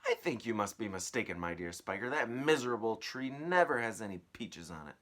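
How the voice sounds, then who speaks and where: A man's voice: lowish voice, big pitch swings, fairly quick, fairly bright tone. A man voicing a grumpy, greedy, disbelieving aunt from a children's storybook, blustering and scolding. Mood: scornful.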